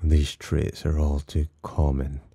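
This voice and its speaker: Casting: male actor as condescending demon for ASMR roleplay, extremely low and husky, menacing yet teasing. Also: level tone, slow, dry recording, exaggerated voice. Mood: disdainful, brooding. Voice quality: very deep, dark, husky. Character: haughty, teasing male demon, disdainful of humans, possessively protective. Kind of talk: ASMR roleplay, intimate scripted monologue.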